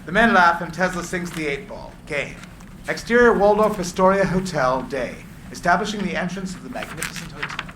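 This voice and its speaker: Higher-voiced man narrating in echoey room. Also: expressive, a little fast. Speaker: narrator of stage directions. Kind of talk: scripted narration at a live screenplay reading. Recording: echoey room with clear background noise.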